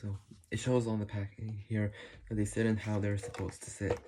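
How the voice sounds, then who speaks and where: A man hosting a casual hands-on how-to video indoors, explaining in a halting, informal way. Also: lowish voice, monotone. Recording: noisy room with clicks and handling sounds.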